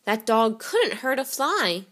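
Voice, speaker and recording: A woman voicing a frightened, sneaky burglar in a children's storybook, in a shrill, alarmed voice. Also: big pitch swings, slow pace, fairly bright tone, exaggerated voice. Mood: scoffing.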